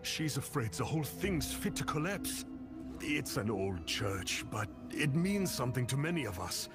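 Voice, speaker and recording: Earnest villager, very lively pitch. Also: male voice, moderate pace, loud music behind the voice. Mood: worried, earnest. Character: earnest, anxious male villager. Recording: loud game score over voice.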